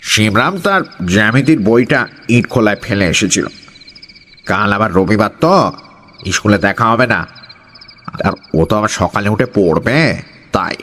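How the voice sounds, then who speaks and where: A man's voice: lowish voice, lively intonation, a little slow, pauses often, sharp tone. Man doing an eerie, nasal schoolteacher in long reverb. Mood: polite, explaining. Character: eerie stooped male schoolteacher suspected of being a monster. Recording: long reverb, faint noise.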